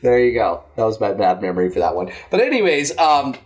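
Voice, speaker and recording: A man's voice: medium pitch, sing-song, fairly quick. A man hosting a casual talk show, swearing freely and rambling as he chats and answers listener mail. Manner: profane, rambling. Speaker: show host.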